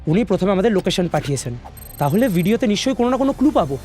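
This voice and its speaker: A man's voice: high voice, big pitch swings, racing, a little mellow. An actor playing a serious, decisive male police detective in a cartoon crime drama. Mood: serious, analytical. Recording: music under the voice.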